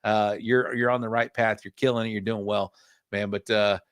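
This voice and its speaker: A man's voice: lowish voice, level tone, normal speed, smooth, no room sound, studio quality. A middle-aged man hosting a podcast, talking in a friendly way and often calling people "man" and "bro". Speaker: podcast host. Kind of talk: casual podcast interview.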